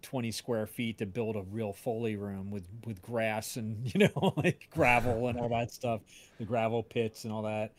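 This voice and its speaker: A man's voice: lowish voice, steady tone, normal speed, slight background noise. A man hosting a casual livestream, talking in a rambling, hesitant way. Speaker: audio drama creator hosting livestream.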